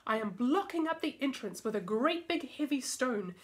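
A woman's voice: high voice, expressive, moderate pace. A woman voicing a cross, bossy little fairy in a storybook, scolding firmly and emphatically. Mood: stern scolding.

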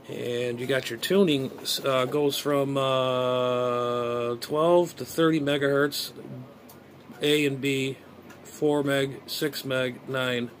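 Middle-aged man, noisy casual recording. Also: mid-range voice. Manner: rambling, muttering to himself while handling items. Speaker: amateur radio hobbyist and vlogger. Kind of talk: casual unboxing vlog, thinking aloud. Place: small bedroom, indoors. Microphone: phone propped at arm's length. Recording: clear background noise, room ambience.

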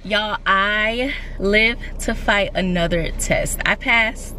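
A woman's voice: mid-range voice, slow pace. A woman in her thirties, an army medical trainee, chatting casually to her viewers from a parked car. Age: 30s. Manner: chatty, confiding, addresses viewers as y'all. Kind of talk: casual vlog chat to camera. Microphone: camera on the dashboard. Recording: distant camera mic with background noise.

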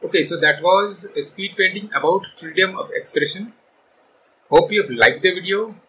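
A man's voice: high-pitched, moderate pace, sharp tone, poor audio. A man, presenting to camera as a channel host in a small room. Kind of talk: video introduction to camera. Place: small furnished room indoors. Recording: clear background noise.

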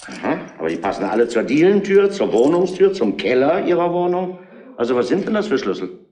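An actor playing a gruff, domineering police inspector who deliberately lays out a case in a retro crime radio play. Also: male voice, medium pitch, sing-song, dark tone, roomy sound, close to an everyday voice. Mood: stern.

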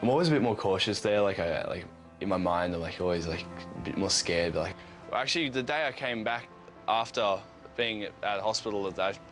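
A man's voice: lowish voice, fairly quick, fairly bright tone. A young man, speaking hesitantly in a news interview, with many fillers and restarts. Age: late teens. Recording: news interview with music underneath.

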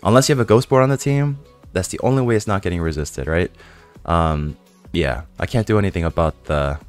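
A young man with a hoarse voice from a cold, chatting casually to viewers while playing a game, rambling with lots of fillers. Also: sits low, fairly quick, soft background music. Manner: chatty, rambling, many fillers and self-questions. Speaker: gaming YouTuber.

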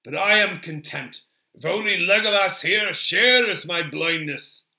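Man voicing a proud, forceful stubborn dwarf warrior. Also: high-pitched, measured pace, voice pushed up, exaggerated voice. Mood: wry, mocking. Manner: loud, emphatic, defiant declamation. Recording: roomy, echoing sound.

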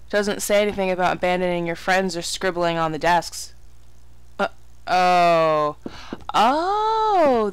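A woman's voice: on the low side, clean tone. A woman giving casual horror game commentary, exclaiming, swearing and muttering asides to herself. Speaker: let's play gamer.

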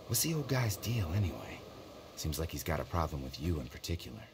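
A man's voice: extremely low pitch, sing-song, a little fast, background noise. A man with an American accent playing a frustrated, hungry, talkative survivor in a video game. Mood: grumbling, wry.

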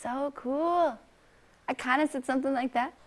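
A woman's voice: sits high, normal speed. A woman in her twenties giving casual interview answers. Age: 20s. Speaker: street interviewee. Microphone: clip-on lapel mic.

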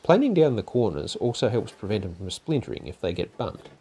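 Calm older man, lively instructional narration. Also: on the low side, brisk, slight background noise. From Australia. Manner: calm, methodical step-by-step explaining. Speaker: woodworking channel host. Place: home garage workshop.

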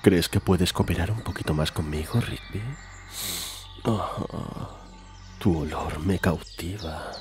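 A man's voice: low voice, slow, over music. A man with a Spain accent voicing a creepy, predatory gumball-machine boss in a parody cartoon, insinuating and unctuous. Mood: creepy coaxing. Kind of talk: adult parody cartoon fandub.

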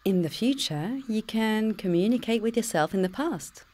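A woman's voice: mid-range voice, animated, moderate pace, a little mellow, natural voice. A woman with a British accent voicing a computer-generated future self, cheeky, teasing and always dodging the question. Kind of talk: pre-recorded game dialogue.

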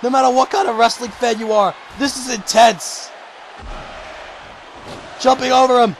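A man calling a video game wrestling match play-by-play, shouting excitedly and going hoarse. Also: normal speed, a faint crowd, a little hiss or hum. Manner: shouting, high-pitched and strained, losing his voice. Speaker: commentator.

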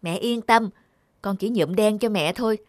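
A man's voice: high voice, normal speed, no echo, studio quality, close to an everyday voice. A man voicing a caring young father in a cartoon, reassuring and coaxing. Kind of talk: cartoon voice acting.

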